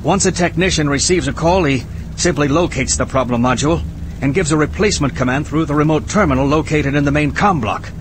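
A man's voice: a little high, fairly quick, noisy background, natural voice. An actor playing a matter-of-fact male maintenance technician recording a work log for a game. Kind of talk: scripted game audio log.

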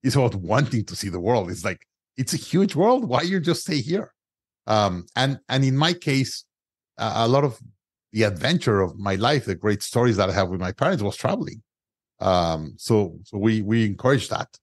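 Mexican guy, middle aged, kinda low voice, dry close mic, lots of uh and restarts. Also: lively intonation, normal speed, dry recording. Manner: non-native grammar, frequent restarts and "uh" fillers. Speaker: guest entrepreneur. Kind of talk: conversational interview storytelling.

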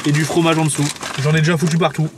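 A man's voice: fairly high pitch, level tone, racing, background noise. A man in his late twenties with a French accent, chatting casually and slangily to viewers while eating in a parked car. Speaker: YouTube vlogger.

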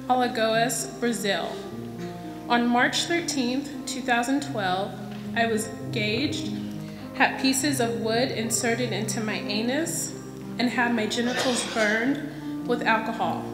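Higher-pitched woman reading in echoey hall. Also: slow pace. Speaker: volunteer reader at a memorial. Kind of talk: solemn read-aloud of prepared text. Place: church lectern, indoors. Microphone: lectern gooseneck microphone. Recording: echoey church hall, music behind.

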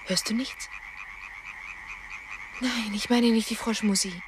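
An actress playing a gently teasing woman in love in a radio play. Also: fairly high pitch, fairly even intonation, slow pace. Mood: intent. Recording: noisy, loud croaking frogs behind.

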